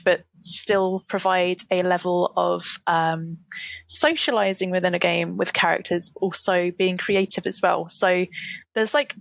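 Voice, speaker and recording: A woman on a gaming podcast panel, chatting casually and rambling a little, her voice going hoarse. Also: lowish voice, no room sound. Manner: rambling and self-deprecating, with frequent fillers. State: losing her voice.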